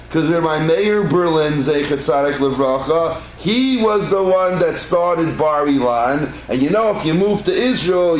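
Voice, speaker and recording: A rabbi teaching a congregation in a chatty storytelling way, piling up repeated words for emphasis. His voice sits a little high for a man. The room is echoey, with a faint hum underneath. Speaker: rabbi giving a class. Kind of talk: informal Torah class to a congregation. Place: synagogue room.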